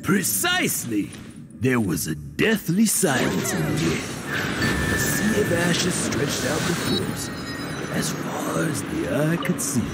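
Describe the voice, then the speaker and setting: Grandiose, boastful adventurer, swooping delivery. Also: male voice, fairly high pitch, full character voice. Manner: grandiose, theatrical storytelling with dramatic pauses. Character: boastful middle-aged adventurer recounting his legendary exploits. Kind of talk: English video game voice acting. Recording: loud background music under dialogue.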